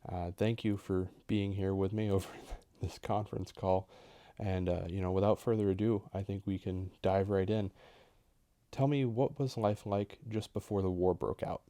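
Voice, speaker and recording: A man's voice: sits low, steady tone, moderate pace, dark tone, no room sound. A young man working as an interviewer, delivering a scripted introduction in an interview style. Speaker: interviewer, history graduate student. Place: indoors, recorded for a video call interview.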